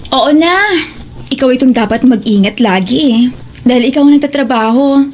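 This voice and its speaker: A woman's voice: high voice, normal speed, a little mellow, slight background noise, close to an everyday voice. A woman with a Filipino accent playing a caring, wary housewife and mother in an audio drama. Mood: caring, reminding.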